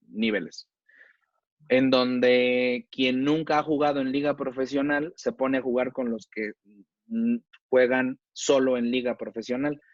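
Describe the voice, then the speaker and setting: A man's voice: medium pitch, dry recording, highs cut off. A man with a Mexican accent, a chef debating in a casual, slangy way and using plenty of rhetorical questions.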